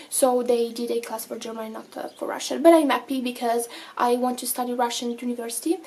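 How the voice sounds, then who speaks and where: A woman's voice: on the high side, a little mellow. A seventeen-year-old Italian girl with an Italian accent, chatting casually to camera in a vlog, full of fillers and restarts. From Italy. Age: teens. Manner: chatty, many fillers and self-corrections. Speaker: vlogger.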